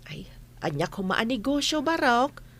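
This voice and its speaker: A woman's voice: a little high, very animated, unhurried, a bit bright, heavily acted. A woman with an Ilocano accent playing a worried, cautious village mother in an audio drama. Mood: wary, reluctant. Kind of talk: scripted audio drama acting.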